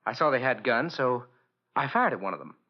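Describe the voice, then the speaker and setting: Animated, earnest radio drama witness. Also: male voice, medium pitch, a little fast. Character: earnest male witness recounting an attack. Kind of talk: 1940s radio crime drama acting. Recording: dull old radio recording.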